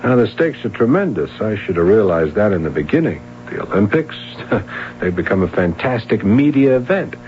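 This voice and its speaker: A man's voice: fairly low pitch, big pitch swings, moderate pace, a little hiss or hum. An actor in his thirties playing an earnest, persistent police sergeant in a 1970s radio drama. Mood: accusing. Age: 30s. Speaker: detective. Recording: dull lo-fi old radio broadcast.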